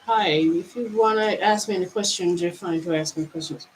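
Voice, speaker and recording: A woman from Jamaica, a spiritual vlogger, musing aloud to her livestream viewers in halting phrases, with many restarts and drawn-out words. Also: fairly low pitch, slight background noise, poor audio. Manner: halting, with frequent restarts and stretched, slowed phrases. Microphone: camera mic.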